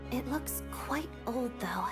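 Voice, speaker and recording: A woman voicing a poised, gentle and resolute young heroine in an anime game. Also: fairly high pitch, expressive, slow pace, music in the background. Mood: calm, thoughtful.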